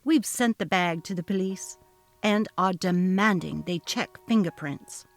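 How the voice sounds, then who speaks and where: A woman's voice: lowish voice, unhurried, faint music behind. A woman voicing a haughty, narcissistic female office manager who talks down to her staff, in a dramatically read story. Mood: cold, accusing. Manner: haughty, cutting, demanding. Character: snobby, imperious, narcissistic female office manager, cold and bullying. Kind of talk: dramatic reading of a text-message story.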